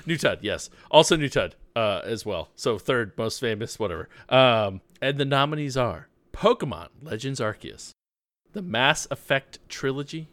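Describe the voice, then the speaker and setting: A man's voice: mid-range voice, very animated, moderate pace, fairly bright tone, no echo. Guy bantering on a podcast, rambly and self-deprecating, voice jumps around a lot, dry audio. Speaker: podcast co-host presenting joke awards. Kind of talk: casual comedic banter.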